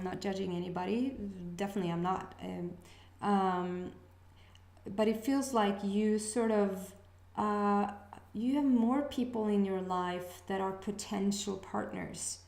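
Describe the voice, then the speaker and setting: Swedish woman doing a casual card reading, hesitant and musing, mid pitch, roomy echo. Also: gravelly, darkish tone. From Sweden. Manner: hesitant, musing, pausing to sense messages. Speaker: astrology reader, channel host. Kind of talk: casual intuitive reading to camera.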